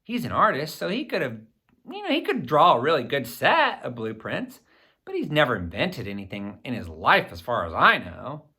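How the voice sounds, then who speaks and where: A man narrates a children's story in the first person. His voice is bright and very lively, sweeping up and down in pitch with theatrical, expressive phrasing for young listeners. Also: normal speed, breathy edge. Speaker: audiobook reader.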